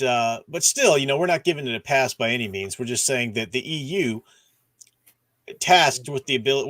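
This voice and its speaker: A man's voice: medium pitch, fairly bright tone, no room sound. A man hosting a casual discussion stream, rambling, restarting sentences and groping for names as he talks. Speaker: podcast host.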